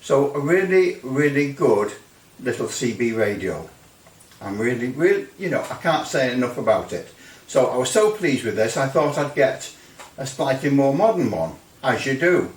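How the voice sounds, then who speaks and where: A man's voice: mid-range voice, very animated, moderate pace. A man giving a casual, rambling hands-on product review as a hobbyist, hesitating and repeating phrases for emphasis. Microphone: microphone some distance from the speaker. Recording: roomy echo, distant microphone.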